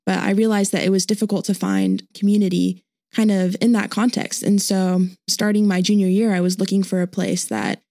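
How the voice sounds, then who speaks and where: Young woman student guest on a podcast, bit of vocal fry, relaxed and thoughtful. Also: mid-range voice, normal speed, no echo, clean studio sound. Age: young adult. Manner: relaxed and thoughtful, some ums. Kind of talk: podcast interview answers. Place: studio.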